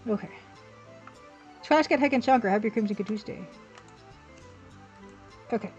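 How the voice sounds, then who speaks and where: High-voiced woman chatting casually over music. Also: measured pace, darkish tone, dull audio. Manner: informal, thinking aloud, reading out chat comments. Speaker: livestream host and pixel artist. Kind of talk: casual chat while drawing on a livestream.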